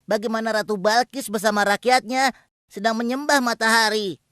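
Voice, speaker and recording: A woman's voice: fairly high pitch, steady tone, normal speed, a bit bright, no room sound, lightly acted. A woman voicing a humble, eager hoopoe bird messenger in a cartoon, speaking deferentially. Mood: reporting. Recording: dry with faint music.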